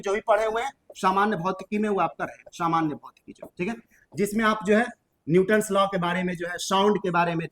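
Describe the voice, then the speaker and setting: Male coaching teacher, high voice, Bihari accent. Informal, emphatic lecturing with plenty of repetition. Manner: emphatic, repetitive, with colloquial tag phrases.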